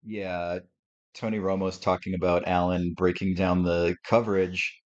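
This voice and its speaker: Male host, very low sportscaster-like voice, relaxed and thinking aloud; dull lo-fi mic. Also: measured pace. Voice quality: deep sportscaster-like radio voice. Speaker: live stream host. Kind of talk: casual live game reaction commentary. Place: home with a TV on. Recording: slightly muffled lo-fi audio.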